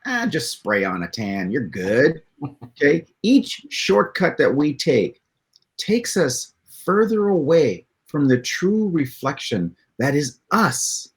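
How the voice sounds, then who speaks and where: Man, average pitch, highly varied intonation, livestream presenter, prepared monologue, punchy, dramatic, emphatic. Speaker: live stream co-host and presenter. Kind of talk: prepared monologue, rhetorical questions.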